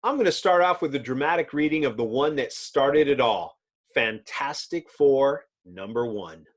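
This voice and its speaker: A man's voice: mid-range voice, expressive, moderate pace. A man narrating a dramatic comic-book reading in a theatrical, announcer-like manner.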